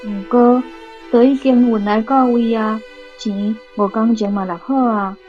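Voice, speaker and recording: A woman playing a grown daughter who reasons gently with her mother in a radio drama, with a Taiwanese accent. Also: fairly high pitch, steady tone, measured pace, lo-fi sound, faint music behind, lightly acted. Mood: coaxing.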